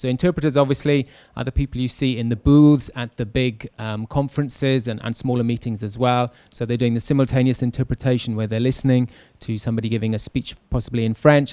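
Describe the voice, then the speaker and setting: A man, giving an informal, measured explanatory talk as a panel speaker into a handheld microphone. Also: medium pitch, darkish tone, clear, resonant tone, no echo. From West Wales. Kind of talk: informal careers talk to an audience. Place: classroom with a seated audience. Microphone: handheld microphone held close.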